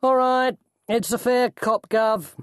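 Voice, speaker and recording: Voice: medium pitch, steady tone, slow pace, fairly bright tone, clean tone, dry recording, clean studio sound, pitched above the performer's own voice. A narrator voicing a cheeky male thief in an audiobook, with slangy, deferential patter. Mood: cheeky pleading. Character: trapped thief, glib, cheeky, breathless cockney-slang chancer, man.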